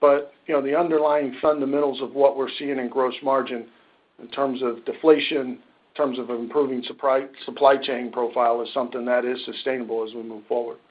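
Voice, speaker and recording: A man answers questions as a finance executive on a conference call. His phrasing is measured and corporate, in a medium-pitched voice. The audio is slightly dull and muffled. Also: flat delivery.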